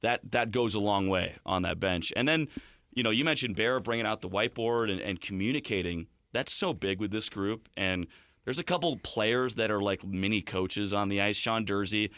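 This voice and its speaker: A man's voice: lowish voice, normal speed, a bit bright, no echo, studio quality. A man giving fluent, conversational sports analysis, explaining things through stories like a broadcast guest. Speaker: hockey play-by-play broadcaster as studio guest.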